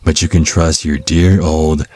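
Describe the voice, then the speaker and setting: A man's voice: very deep voice, level tone, measured pace, darkish tone. A man playing a smug, cunning vampire captive in an ASMR audio drama, taunting with sardonic mock politeness and teasing pet names. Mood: menacing, mock-soothing.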